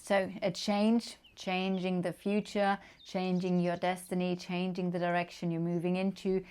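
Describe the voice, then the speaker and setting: A woman from Germany with a German accent, giving a casual tarot card reading with gentle, unhurried talk and many fillers. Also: fairly low pitch, level tone, clear, resonant tone. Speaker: tarot reader.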